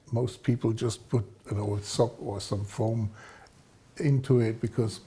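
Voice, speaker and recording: Low-key older man, mellow and gravelly. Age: 60s. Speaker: guest, loudspeaker designer. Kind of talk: technical interview explanation. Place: studio set, seated indoors.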